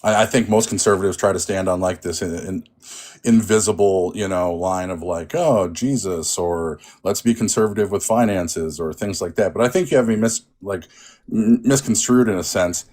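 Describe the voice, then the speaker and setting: A man's voice: deep voice, normal speed, darkish tone. A man in a casual political debate, laid-back and rambling, teasing the others.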